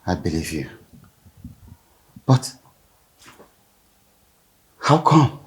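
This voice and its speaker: A secretive father with a coaxing, hushed delivery. His voice moves up and down a great deal, and he speaks slowly and deliberately, dropping to near-whispers and then rising again. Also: low voice, big pitch swings, full character voice. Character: secretive scheming father comforting his daughter.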